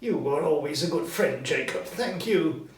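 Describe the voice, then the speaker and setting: A man voicing a frightened, excitable old miser in a storybook reading, with an agitated, trembling delivery. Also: measured pace. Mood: pleading. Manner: high-pitched, trembling, agitated. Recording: roomy echo, distant mic, background noise.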